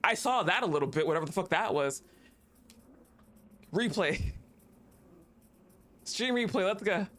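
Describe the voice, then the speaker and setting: Excitable, swearing male game streamer. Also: sits high. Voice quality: excitable, profane, quick to laugh, talks to the game. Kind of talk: live gameplay commentary.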